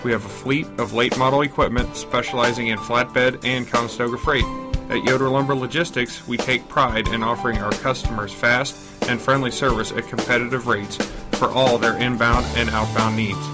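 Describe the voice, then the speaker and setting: A man with a medium-pitched voice reads a scripted radio ad as a company employee. Clear guitar music plays behind him. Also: fairly even intonation, normal speed. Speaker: employee spokesman, fleet mechanic.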